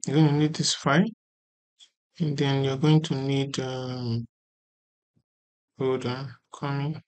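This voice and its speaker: A tutorial host explaining software step by step in an informal way, repeating points and often checking that the listener follows. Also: medium pitch, level tone, slow pace, rough recording. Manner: repetitive, often checking for understanding.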